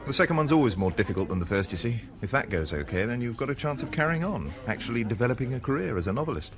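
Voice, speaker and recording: An actor playing a dry, disgruntled schoolteacher and struggling novelist, pedantic and self-deprecating, in a radio drama. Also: male voice, medium pitch, big pitch swings, rapid-fire, a crowd in the background. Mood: wry, disgruntled. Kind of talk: naturalistic radio drama dialogue.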